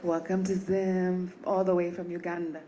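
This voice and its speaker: A woman's voice: lowish voice, little pitch movement, normal speed, slight background noise. A woman host congratulating each guest and introducing the next speaker at a live event. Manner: warm congratulatory announcing.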